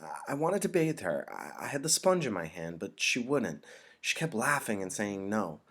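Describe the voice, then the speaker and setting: A man's voice: on the low side, very animated, a bit bright, voice pushed up, heavily acted. Man reading as a hesitant, embarrassed young boy. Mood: uneasy confessing. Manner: halting, earnest.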